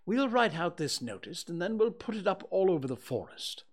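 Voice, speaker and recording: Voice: mid-range voice, big pitch swings, normal speed, breathy edge, pitched above the performer's own voice. A storybook reader voicing a pompous, long-winded owl who acts learned and declaims things. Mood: brisk, proud.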